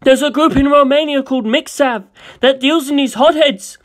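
A jittery underworld arms supplier, as a man puts him on, rattling off his lines in a raised, excitable rush. The voice sits noticeably higher and louder than the reader's own. It is a wired comedy-sketch crook with no calm in him. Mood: agitated. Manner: rapid, raised, excitable delivery.

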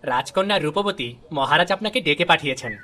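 Man as a bright-voiced, loyal royal minister. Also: a little high, expressive, a little fast, slight background noise. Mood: obedient. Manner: formal, dutiful. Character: loyal, deferential royal minister serving the king.

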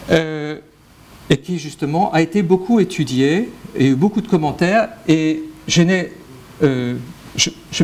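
A middle-aged man with a French accent delivers a conference talk on mathematics in a lecture hall. His voice sits slightly higher than most men's. He stops and restarts his sentences often, filling gaps with hesitation sounds, and speaks into a handheld microphone. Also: darkish tone. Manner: hesitant, many fillers and restarts. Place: lecture hall with projector screen.

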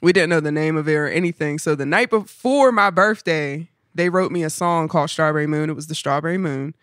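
A young woman with a deep voice, chatting as a guest musician on a podcast, telling stories with animation and frequent laughter. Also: fairly quick, no room sound, clean studio sound. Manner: animated storyteller, laughs often. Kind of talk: casual podcast chat. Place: living room, indoors.

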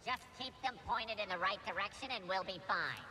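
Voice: mid-range voice, fairly quick, bright, forceful tone, slight background noise. An actor voicing a hapless, insecure battle droid in a video game, nasal and whiny through a robot filter. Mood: petulant, chatty. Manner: whiny, petulant. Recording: faint game ambience behind.

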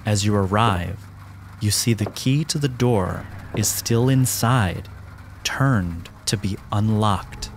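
A man narrating a horror tabletop game as game master, in a deliberate, descriptive, atmospheric storytelling manner. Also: lowish voice, slight background noise.

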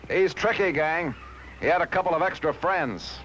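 A man's voice: on the high side, moderate pace, highs cut off, a little hiss or hum, lightly acted. A man playing a resolute middle-aged cartoon sea captain, commanding and plain-spoken. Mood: determined. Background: loud background music.

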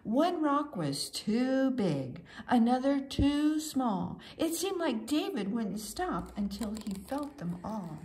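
A woman's voice: high voice, very animated, slow pace, few pauses, darkish tone. A woman reading a children's storybook aloud with theatrical, sing-song emphasis, as a storyteller does for young listeners. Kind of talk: expressive read-aloud storybook narration.

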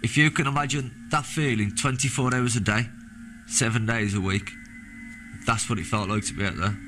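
A young British man, a former soldier, recounting his experiences in a halting, plain-spoken way in a documentary interview. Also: mid-range voice, steady tone. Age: young adult. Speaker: former soldier giving testimony. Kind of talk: documentary interview, personal recollection. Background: background music.

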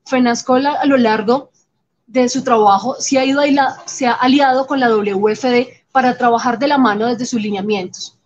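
A woman voicing a host's words in live interpretation, steady and upbeat. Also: a little high, moderate pace. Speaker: sign language interpreter voicing the host. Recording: slightly muffled audio, faint background noise.

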